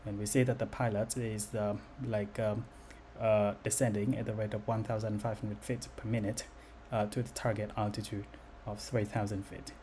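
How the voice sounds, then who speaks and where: A man giving an unscripted software demo as its developer, speaking in a halting, hesitant way. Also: fairly low pitch, level tone. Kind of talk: unscripted screen-recorded demo narration. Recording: noticeable background noise.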